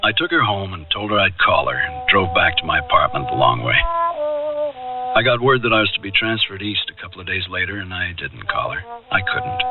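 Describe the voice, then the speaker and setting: An actor playing a wistful, polite and somewhat snobbish office worker, in a reflective radio drama style on a tinny recording. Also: male voice, deep voice. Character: wistful, snobbish male office worker recalling a faded romance. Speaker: narrator and male lead. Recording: tinny radio recording, distant, music behind.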